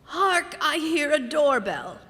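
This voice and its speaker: Lady doing fed up old wife, melodramatic radio comedy, high slow voice, echoey noisy hall. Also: very animated, sharp tone, heavily acted. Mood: theatrical. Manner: hammy, declamatory.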